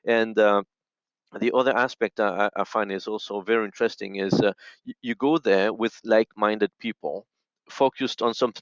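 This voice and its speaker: Low-voiced non-native English-speaking man, halting. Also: fairly even intonation, no room sound. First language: not English, non-native speaker. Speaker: audio and radio expert, podcast guest. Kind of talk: conversational interview answers. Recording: dull, lo-fi call audio.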